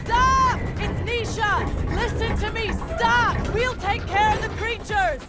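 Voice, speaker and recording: An actress playing a frantic, commanding young woman fighter who shouts urgently in a video game. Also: very high voice, slow, over a noisy crowd. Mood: urgent, shouting. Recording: loud music over game dialogue.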